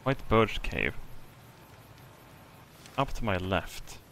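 A man's voice: deep voice, sing-song, extremely slow, vocal fry, a bit bright, slight background noise. A man with a Swedish accent giving casual, frustrated commentary on a game as a streamer, swearing often. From Sweden.

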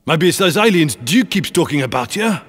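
High-pitched, animated cheeky heist crook. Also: male voice, moderate pace. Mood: amazed. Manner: casual, exclamatory, matey. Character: cheeky, rough-talking male heist crew ally. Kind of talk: video game voice acting. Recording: long hall reverb.

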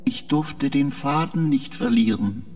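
An actor playing a male artificial intelligence in a sci-fi audio drama, speaking in terse, deliberate, clipped sentences. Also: a little high, a little mellow, slight background noise, natural voice. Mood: measured. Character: ship's AI named Alan, troubled and literal-minded. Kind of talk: German audio drama acting.

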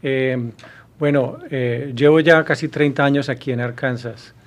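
A man in his fifties with a Colombian Spanish accent, a university professor answering interview questions, pausing often with hesitations and restarts, recorded on a studio mic. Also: medium pitch, steady tone. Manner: thoughtful, many hesitations and restarts. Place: small studio with blue backdrop. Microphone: studio mic on boom arm.

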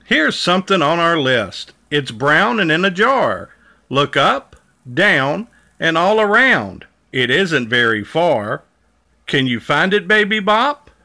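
A man's voice: a little high, very animated, slow, pushed and bright, full character voice. A man reading the part of a cheerful, helpful big dinosaur in a children's storybook, encouraging and sing-song.

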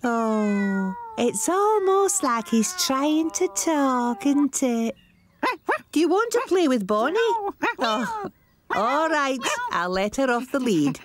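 High, kindly cartoon village lady. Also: big pitch swings, slow, exaggerated voice. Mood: fond, chatty. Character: kindly, chatty village woman. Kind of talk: children's cartoon dialogue.

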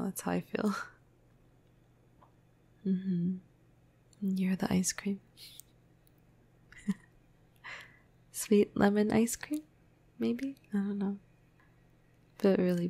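Woman acting as teasing cuddly vampire, ASMR roleplay, slow and breathy, gravelly fry, intimate murmur. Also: fairly low pitch, darkish tone, no echo, heavily acted. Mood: playful, then concerned, teasing. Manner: casual, playful, hesitant, breathy murmur. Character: flirty, cuddly, teasing female vampire crush. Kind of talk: ASMR roleplay, soft intimate half-whisper. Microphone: close microphone.

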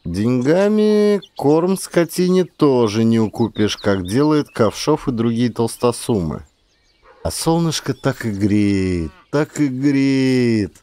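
A man narrating a literary story as a dramatized audiobook, with expressive, theatrical delivery. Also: mid-range voice, slow pace.

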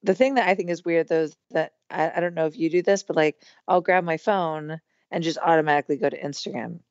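A woman in her thirties with a hoarse, strained voice, chatting casually as a podcast guest with lots of fillers. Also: low voice, quick pace, a little mellow, dry recording, lo-fi sound. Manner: chatty, rambling with many fillers. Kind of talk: casual conversation.

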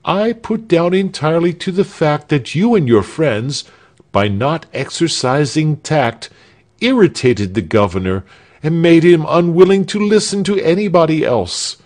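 A man's voice: a little high, animated, measured pace, darkish tone, voice pushed up. A man voicing a pompous, fussy male villager who lectures everyone on tact. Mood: lecturing. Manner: lecturing, self-important.

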